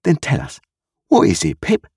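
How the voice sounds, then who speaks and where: A kindly country blacksmith as acted out by a male storybook reader, reasoning things out earnestly in homespun, ungrammatical speech. His voice is slightly rough and swings widely in pitch, recorded dry and close. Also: huge pitch swings, moderate pace, darkish tone, no echo, exaggerated voice. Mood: amazed, pleading. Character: kindly, slow-reasoning uneducated country blacksmith, adult man.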